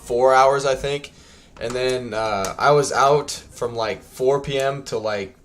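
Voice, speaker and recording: A man's voice: mid-range voice, slow pace, a bit bright. Man with a scratchy, tired voice. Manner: rambling, many ums and restarts. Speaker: streamer. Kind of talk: casual gameplay livestream chat.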